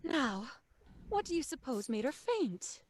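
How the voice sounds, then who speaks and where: A woman's voice: high voice, very animated, unhurried, full character voice. An actress playing a commanding, easily alarmed wife and mother in dramatic old-time radio style. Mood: brisk, concerned. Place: small dark home booth. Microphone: close studio microphone.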